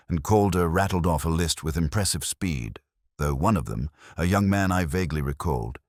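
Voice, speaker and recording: A man with an extremely deep voice narrates a detective story in the first person. His delivery is measured and brooding, with literary phrasing and a wide, lively pitch movement. The sound is dry, with no room around it. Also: no room sound. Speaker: audiobook-style narrator of a detective mystery.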